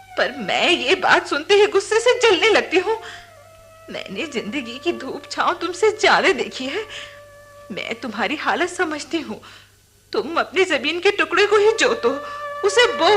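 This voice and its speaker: A fiery, grieving young widow from a poor village in a radio drama. Her voice is very high and swings with emotion, delivered slowly and emphatically, with music behind it. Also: a bit bright, full character voice. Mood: angry, urging. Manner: impassioned, emphatic, tearful delivery. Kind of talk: Hindi radio drama acting.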